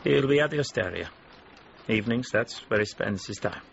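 Lively, lowish-voiced worldly informant. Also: male voice, a little fast, creaky, muffled recording, slight background noise. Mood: matter-of-fact. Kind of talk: radio drama acting.

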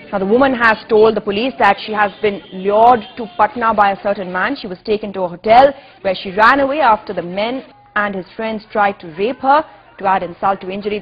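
A woman's voice: fairly high pitch, dull audio. Emphatic woman news anchor. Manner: indignant, emphatic. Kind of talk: scripted news narration. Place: TV studio.

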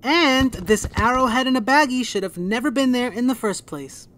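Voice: medium pitch, normal speed, a bit bright, a little hiss or hum, close to an everyday voice. A performer playing a wry, curious young boy adventurer in an audio drama.

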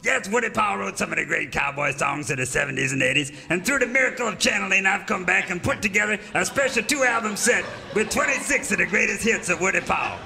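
A man playing a folksy, excitable old cowboy pitchman in a parody radio commercial. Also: a little fast, hardly any pauses, soft background music, exaggerated voice. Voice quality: creaky.

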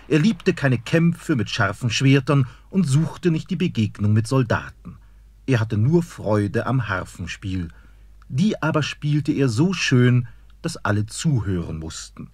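A man narrating a fairy tale in a measured storytelling manner. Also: mid-range voice, husky, dry recording.

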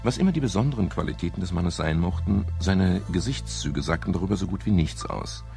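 An actor playing a wry, world-weary private detective in a 1970s German radio crime drama, speaking in a dry, measured and polite way. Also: male voice, low-pitched, expressive, vocal fry, warm tone. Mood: sober narrating. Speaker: lead actor and first-person narrator. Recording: 1970s radio play with music.

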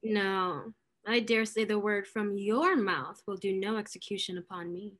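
An actress playing a proud, disdainful, sharp-tongued young lady in a period stage comedy, cool and pointed. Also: medium pitch, a little slow. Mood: cool, mocking. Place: indoors at home on video call.

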